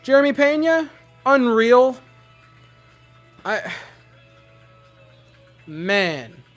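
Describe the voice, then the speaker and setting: A young man with a hoarse, worn-out voice, rambling giddily to his livestream chat from home. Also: very high-pitched, big pitch swings, drawn-out words, a bit bright, faint music behind. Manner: giddy, rambling, talking to chat. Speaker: streamer.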